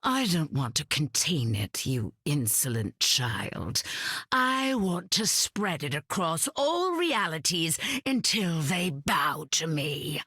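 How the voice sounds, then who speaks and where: Villainous game goddess: slow, husky, sweeping intonation, grand and condescending. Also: female voice, low-pitched, very animated, a bit bright, no echo, clean studio sound, heavily acted. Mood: silky, condescending. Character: imperious, silky goddess queen of the dead. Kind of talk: video game voice acting.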